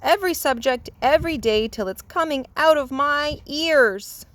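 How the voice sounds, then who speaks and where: A woman's voice: high-pitched, moderate pace, fairly bright tone, voice pushed up. A woman voicing an exasperated young boy bear in a children's story, complaining emphatically.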